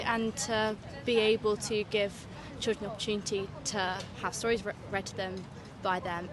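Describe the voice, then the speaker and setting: A woman answering a street interviewer, reasoning earnestly with many fillers. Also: medium pitch. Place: city street outdoors. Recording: street interview with clear traffic noise. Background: traffic.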